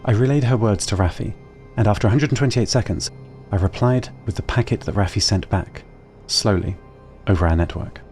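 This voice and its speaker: Man, scripted audio-drama narration, fairly low voice, calm and measured, faint music behind.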